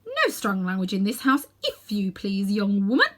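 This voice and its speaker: A woman's voice: medium pitch, wildly swooping pitch, moderate pace, fairly bright tone. A woman reading a storybook, voicing a tough, indignant old aunt who scolds and gives orders icily. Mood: icy disapproval. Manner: icy, scolding, commanding.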